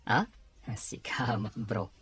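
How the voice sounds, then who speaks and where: A man's voice: lowish voice, animated, extremely slow, fairly bright tone, breathy edge, dry recording, heavily acted. A Filipino man playing a nosy, teasing young best friend in an audio drama. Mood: teasing, curious. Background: music.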